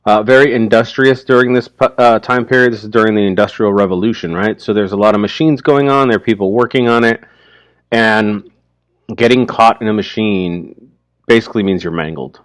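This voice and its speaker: A man's voice: lowish voice, fairly quick, no echo. A man giving casual, explanatory commentary on a story, like a teacher.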